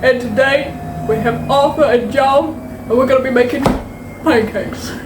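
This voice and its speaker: A woman's voice: sits high, measured pace, distant mic, background noise. A young woman playing a male outlaw cowboy in a jokey home cooking video, giggly and drawling "y'all" in her own everyday voice. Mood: playful. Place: home kitchen. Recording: roomy kitchen from off mic. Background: dishes and cutlery clatter.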